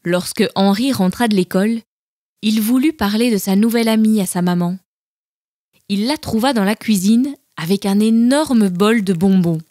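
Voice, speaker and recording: A woman's voice: medium pitch, normal speed, dark tone, no room sound. A woman narrating a children's storybook and hosting, with music behind her. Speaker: storybook narrator and channel host. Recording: music bed under the voice.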